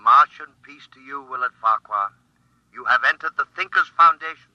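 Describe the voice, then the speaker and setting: A serene, formal foundation attendant. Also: male voice, mid-range voice, fairly quick. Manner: calm, formal and courteous. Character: smooth male foundation attendant. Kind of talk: 1950s radio drama acting. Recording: dull old radio recording with faint hiss.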